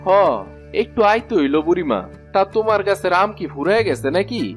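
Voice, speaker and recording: A man's voice: high-pitched, expressive, brisk. A man playing a village farmer in a cartoon, with a rural Bangladeshi Bengali accent. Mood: neighbourly. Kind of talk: cartoon voice acting. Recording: music behind the voice.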